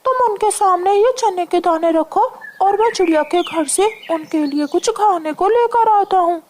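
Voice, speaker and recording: A hospitable little male parrot from a cartoon, put on by a woman. The voice is piercingly high and earnest, with noticeable ambient noise behind it. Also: normal speed, a little mellow. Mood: helpful. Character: kindly, hospitable male parrot, eager to help. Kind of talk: cartoon story voice acting. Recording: noisy ambient background.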